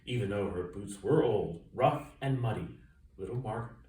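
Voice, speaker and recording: A man reading a children's story aloud with expressive, dramatic emphasis. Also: lowish voice, moderate pace. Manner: expressive, dramatic emphasis and sound-effect words. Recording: roomy echo, distant mic, faint noise.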